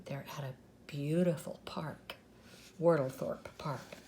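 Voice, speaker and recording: Older woman reading a storybook, slow and mellow. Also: low voice, fairly even intonation, slight background noise. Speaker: storybook reader. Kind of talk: read-aloud children's story with asides.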